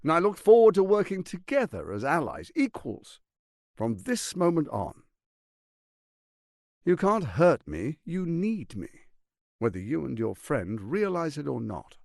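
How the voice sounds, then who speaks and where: A man playing a smooth-tongued, condescending tyrant lord in a video game, with a pompous, theatrical delivery and deliberate emphasis. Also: fairly high pitch, huge pitch swings, a little mellow, no room sound, studio quality, full character voice. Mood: persuasive, ingratiating.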